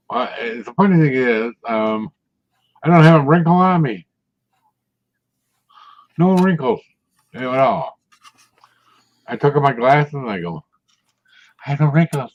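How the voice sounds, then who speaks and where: A man in his sixties, chatting casually and telling rambling stories with frequent chuckles. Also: mid-range voice. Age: 60s. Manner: rambling storyteller, chuckles often. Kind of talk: casual chat. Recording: muffled lo-fi audio.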